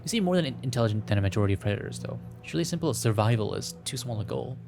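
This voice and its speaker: Man, medium pitch, creaky voice, playful game streamer, rambling, reads on-screen text aloud, faint music. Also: expressive, very fast, a little mellow. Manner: playful, rambling, stumbles while reading. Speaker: gaming streamer. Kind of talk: casual playthrough, reading visual novel text aloud.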